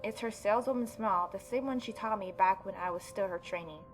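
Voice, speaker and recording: A woman gaming YouTuber reading a story game aloud and adding expressive, mocking asides. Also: mid-range voice, fast talker, soft background music. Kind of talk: let's-play reading aloud with reaction commentary.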